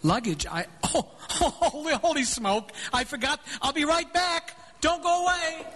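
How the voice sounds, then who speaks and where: Excitable high-voiced young man. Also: measured pace, heavily acted. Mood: cheerful, flustered. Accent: American. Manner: breezy, stammering when flustered. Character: breezy, excitable young man back from college. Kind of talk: live radio drama acting. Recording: hall reverb, faint noise.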